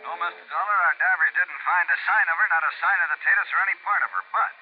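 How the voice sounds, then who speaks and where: An actor playing an earnest, puzzled boat captain reporting by telephone in a 1950s radio drama, hurried and emphatic. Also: male voice, on the high side, faint music behind. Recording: thin, phone-like old radio.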